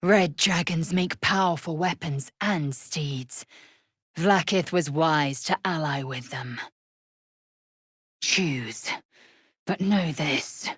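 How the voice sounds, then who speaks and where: A woman voicing a fierce, proud alien warrior woman in a video game, scornful and haughty, with clipped, formal phrasing and a husky, breathy voice. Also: sits low, fairly bright tone, no echo, clean studio sound, heavily acted. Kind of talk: video game character voice lines.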